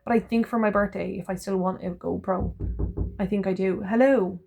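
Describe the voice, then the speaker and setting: A woman rambles cheerfully to her camera, now and then breaking off mid-word to restart. Her voice is a touch hoarse and phlegmy, and her pitch sits in an ordinary middle range. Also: steady tone, normal speed, mellow, dry recording, faint music behind. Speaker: vlogger. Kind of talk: casual vlog chat.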